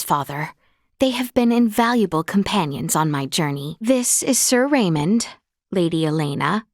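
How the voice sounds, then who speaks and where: A gracious young princess of a fantasy kingdom, played by a woman, speaks in a clear, medium-pitched voice. Her phrasing is formal, old-fashioned and measured, with an earnest politeness throughout. It is video game acting, recorded close and very dry. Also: no room sound, lightly acted. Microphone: close studio mic, dry.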